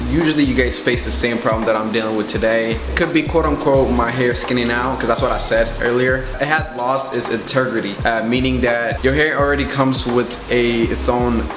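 A young man from Ethiopia talking casually about his hair in a relaxed, rambling vlog. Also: mid-range voice, roomy sound. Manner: relaxed, conversational, rambling with fillers. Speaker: vlogger. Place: small indoor room.

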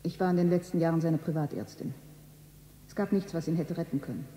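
Radio play role: solemn consoling doctor played by a man, fairly high, very mellow voice, measured delivery, faint noise. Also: fairly even intonation, natural voice. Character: grave, fatherly male private doctor breaking bad news. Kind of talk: 1970s radio drama acting.

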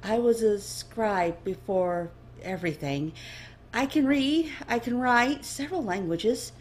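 A woman's voice: on the high side, lively intonation, unhurried, slight background noise, pitched above the performer's own voice. A woman voicing a timid young-sounding elf refugee, hesitant and stammering, in a fantasy audiobook. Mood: awkward, anxious. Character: nervous, timid female elf refugee and former scribe.

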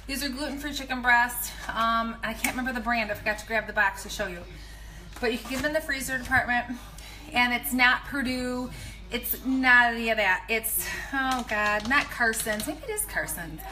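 A woman's voice: a little high, moderate pace. Chatty pregnant woman in her kitchen. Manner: chatty, rambling, animated asides. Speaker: nutrition coach. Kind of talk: casual live video tips. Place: home kitchen, indoors. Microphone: phone at arm's length.

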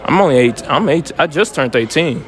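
Lively young man teasing strangers. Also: a little high, a little fast, slight background noise. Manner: joking, teasing, slangy, laughs often. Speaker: street interviewer and host. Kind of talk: casual street interview.

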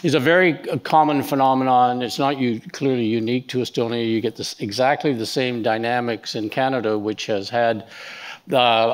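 An older man on a conference panel, speaking in a measured, lecturing way into a headset mic. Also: medium pitch. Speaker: panelist, professor. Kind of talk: panel discussion. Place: conference stage. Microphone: headset microphone.

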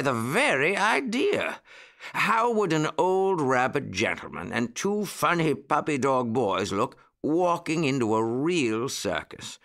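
Man playing a fussy old rabbit gentleman, lively pitch. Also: heavily acted.

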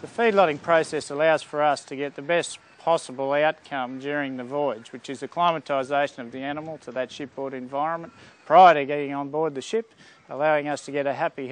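A man explaining feedlot work in a businesslike way. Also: medium pitch. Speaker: feedlot and feed mill manager. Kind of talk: interview explanation.

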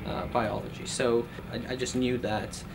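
A man's voice: mid-range voice, fairly even intonation, unhurried, creaky, noisy background. A man in his thirties, from Iran, speaking earnestly in an interview as a postdoctoral researcher, with many fillers and restarts, recorded in a lab office. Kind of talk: documentary interview.

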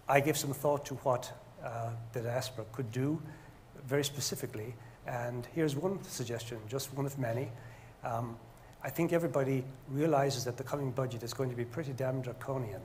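Older man, deliberate and often pausing. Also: medium pitch, a little mellow. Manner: deliberate, hesitant with frequent pauses. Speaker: panelist, engineer. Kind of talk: measured panel remarks. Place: stage of a lecture hall, indoors. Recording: faint noise in a hall.

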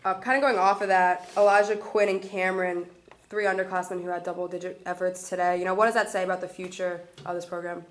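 A woman moderating a press conference, asking brisk, direct questions. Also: lowish voice, little pitch movement.

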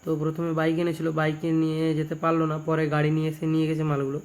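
A young man with a West Bengal Bengali accent, casually presenting repair work in a halting, repetitive way, phrase by phrase. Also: on the high side, monotone, darkish tone. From West Bengal, India. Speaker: speaker repair technician and video presenter. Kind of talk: casual product showcase narration.

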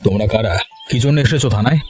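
A man's voice: fairly low pitch, fast talker, over music, natural voice. A man voicing a stern, authoritative village police officer in a cartoon. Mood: official, conspiring. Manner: authoritative, giving orders. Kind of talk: cartoon voice acting.

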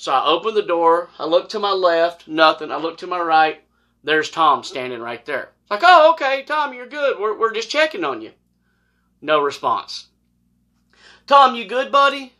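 A middle-aged man with an American accent telling a personal story casually, in a folksy, animated way and acting out dialogue. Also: high-pitched, normal speed. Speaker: storyteller, former law enforcement officer. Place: small wood-paneled room indoors, seated on a couch.

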